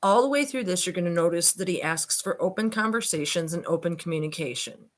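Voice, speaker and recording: Low-pitched woman, skeptical conversational commentator. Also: expressive, fairly quick. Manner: conversational, addresses listeners directly, skeptical asides. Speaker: online commentator and host. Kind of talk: reading a transcript aloud with informal commentary.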